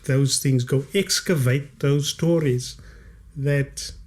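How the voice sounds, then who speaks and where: A man's voice: mid-range voice, fairly even intonation, takes it slowly, a little mellow. A South African man in his late fifties, a guest author whose first language is Kaaps, speaking reflectively in a podcast studio and stretching out key words for emphasis. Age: 50s. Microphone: studio condenser mic with headphones.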